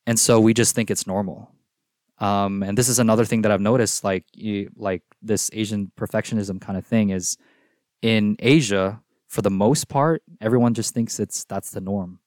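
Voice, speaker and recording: A young man from Singapore, raised speaking Filipino first, talks at length as a podcast guest in an easygoing, chatty way. His voice sits on the low side. It is recorded close and dry, with no room sound. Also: normal speed, no room sound. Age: young adult. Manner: relaxed, talkative, peppers speech with "like". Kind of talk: casual podcast conversation.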